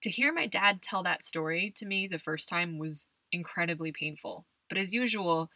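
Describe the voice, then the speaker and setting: A woman's voice: fairly low pitch, animated, moderate pace, pushed and bright, no room sound. A young adult woman from California hosting a podcast, telling personal stories conversationally and laughing often. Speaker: podcast host.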